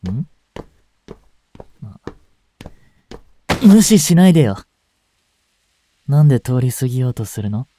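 Man acting as a mellow, soft-spoken brooding teacher. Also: mid-range voice, sing-song, moderate pace, a little hiss or hum, lightly acted. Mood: eerily friendly. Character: gentle male chemistry teacher, brooding, turning possessive. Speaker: voice actor in a fan voice drama. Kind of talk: Japanese audio drama monologue, intimate acting.

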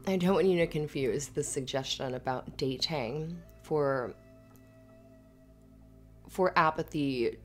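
Woman acting a low-voiced, affectionate, playful girlfriend. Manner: soft-spoken, hesitant, often giggling. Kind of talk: intimate ASMR girlfriend roleplay.

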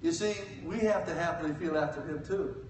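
An older man preaching a sermon from a church pulpit, swinging between loud cries and quiet asides. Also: fairly high pitch, fairly quick, a little hiss or hum. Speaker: guest preacher. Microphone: pulpit mic, filmed from the pews. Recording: echoey church from far off.

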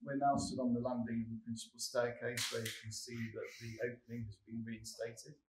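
A male architect giving a measured, explanatory walkthrough of a renovation site, filmed by a camera mic in a large hall under renovation. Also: mid-range voice, flat delivery. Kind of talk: scripted walkthrough presentation. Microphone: camera mic from a few metres away. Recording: roomy echo, distant mic, clear background noise.

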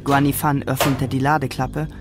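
A man's voice: mid-range voice, level tone, normal speed, over music. A man narrating a radio drama from a script.

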